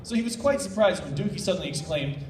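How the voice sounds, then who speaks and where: A man's voice: high-pitched, animated, quick pace, distant mic. A man casually narrating fan fiction and chatting with fellow panelists at a convention. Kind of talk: casual banter and live narration. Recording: noisy echoey convention room, off-mic.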